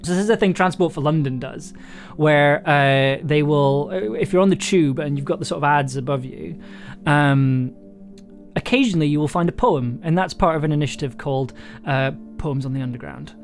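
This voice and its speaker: A live streamer and audio drama writer chatting casually with viewers and reading writing prompts aloud, full of fillers and restarts and quick to laugh. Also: medium pitch, soft background music. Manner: hesitant, many fillers and restarts, laughs easily.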